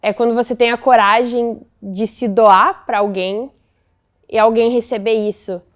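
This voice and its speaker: Higher-voiced thoughtful woman, 19. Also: steady tone, measured pace. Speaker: co-creator of a photo book. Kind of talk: interview answers.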